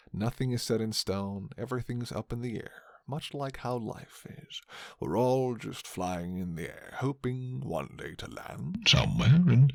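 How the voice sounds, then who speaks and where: Gravelly man rambling in ASMR style. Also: lowish voice, dry recording. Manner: hesitant, rambling, musing. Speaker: host of an ASMR roleplay audio channel. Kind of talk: ASMR, casual rambling to listeners.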